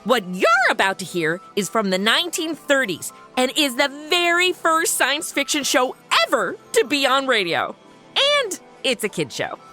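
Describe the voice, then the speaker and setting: Sarcastic modern young woman, played by a woman, high and bright, quick wisecracks. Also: very animated, soft background music, heavily acted. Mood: snarky. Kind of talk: comedy audio drama acting.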